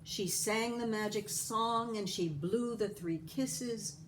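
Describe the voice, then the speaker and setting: A woman reading a picture book aloud in an expressive, animated storytelling style. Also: fairly high pitch, slow, slight background noise. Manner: animated, acting out the dialogue. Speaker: storybook reader.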